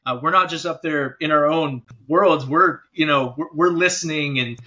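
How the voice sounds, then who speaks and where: A man's voice: fairly high pitch, normal speed, sharp tone, lo-fi sound. A man speaking casually and informally as an interview guest, a working musician talking about his job. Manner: informal, often checking in with "you know". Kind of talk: casual chat.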